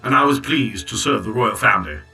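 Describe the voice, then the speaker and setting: A man playing a loyal clockwork servant who tells a story in a formal, measured, precise way in an audio drama. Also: fairly low pitch, animated, gravelly, bright, forceful tone, recorded from a distance, soft background music, exaggerated voice. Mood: solemn. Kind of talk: full-cast audio drama narration.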